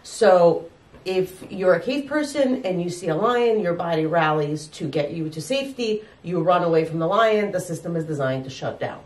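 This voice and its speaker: A woman doctor from Ukraine, explaining calmly and giving advice in an interview. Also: fairly low pitch, moderate pace, roomy sound, recorded from a distance. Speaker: doctor and medical guest.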